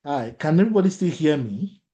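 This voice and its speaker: A man's voice: fairly high pitch, expressive, brisk, warm tone. A man with a Nigerian accent, a native Yoruba speaker, leading an online workshop and often asking the audience questions. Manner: repeats phrases, asks the audience questions. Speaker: workshop facilitator. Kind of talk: interactive online workshop talk.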